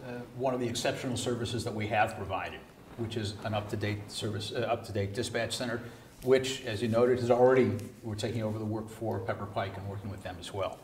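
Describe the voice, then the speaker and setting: A man with a fairly low voice answers as a council candidate. He is deliberate and halting, recorded from a distance in an echoey room with faint noise. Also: steady tone, darkish tone.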